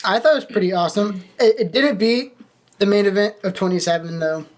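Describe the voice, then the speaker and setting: A woman's voice: mid-range voice, fairly quick. A woman co-hosting a casual podcast, chatting in a joking, teasing way. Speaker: podcast co-host.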